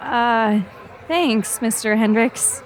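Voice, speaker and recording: An earnest teenage girl reporter acted by a woman in an audio drama. She has a high voice and pleads her case with hesitant persistence. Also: drawn-out words, a faint crowd. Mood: earnest, concerned. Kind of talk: scripted audio drama acting.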